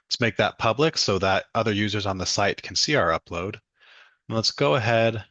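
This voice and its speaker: A young man, presenting a step-by-step software demonstration. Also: lowish voice, no room sound, highs cut off. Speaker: student demo presenter. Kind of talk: software demo walkthrough.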